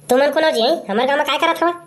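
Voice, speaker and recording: A woman's voice: high-pitched, fast, natural voice. A woman voicing an irritable, greedy old village man in a comedy cartoon, grumbling in a Chhattisgarhi accent. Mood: bargaining. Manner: grumbling, threatening, colloquial. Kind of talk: comic cartoon dialogue.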